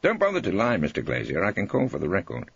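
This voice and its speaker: A man's voice: low-pitched, huge pitch swings, quick pace, creaky, husky, dry recording, lo-fi sound, voice pushed up, exaggerated voice. A man voicing a combative, irreverent old English barrister in an audiobook, theatrical and relentless, with sardonic cross-examining. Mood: hectoring. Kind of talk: audiobook character acting.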